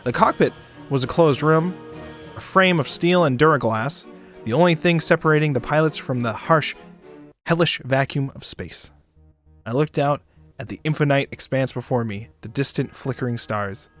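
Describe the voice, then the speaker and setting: A man doing a dramatic narration of a visual novel for a stream, expressive, sometimes stumbling and joking. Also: mid-range voice, normal speed. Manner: expressive, stumbles and rereads lines. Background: music behind the voice.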